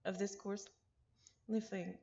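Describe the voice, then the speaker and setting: A woman voicing a polite, reassuring young male teaching assistant in a visual novel, with measured, formal phrasing. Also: mid-range voice, level tone, vocal fry, dark tone, lo-fi sound.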